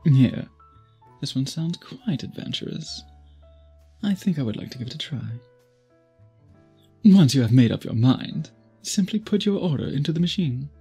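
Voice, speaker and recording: A man playing a centuries-old vampire suitor in an intimate ASMR audio drama, speaking in formal, archaic, deliberate phrases with a breathy, husky voice. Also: medium pitch, sing-song, dark tone, faint music behind, full character voice. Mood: courtly, musing. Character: centuries-old male vampire suitor, possessive, courtly, brooding.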